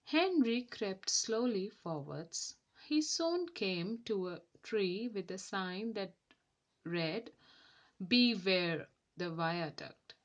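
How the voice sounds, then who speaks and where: A woman narrates a children's storybook with expression. Her pace is slow and measured, and her pitch moves in lively swings from phrase to phrase. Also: dull audio. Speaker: storyteller reading a children's book aloud.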